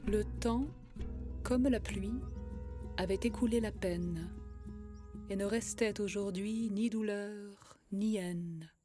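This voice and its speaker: A woman narrating an animated short as a poetic storybook tale, in a solemn, lyrical and measured way. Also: mid-range voice. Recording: music under the voice. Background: music.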